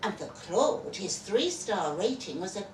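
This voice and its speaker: Woman, live story reader, unhurried, highly animated intonation, dramatic pauses, wry, noisy distant recording. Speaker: reader of a short story at a festival. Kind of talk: expressive live literary reading. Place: live festival reading in an echoey room. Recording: echoey venue, far from mic, noisy.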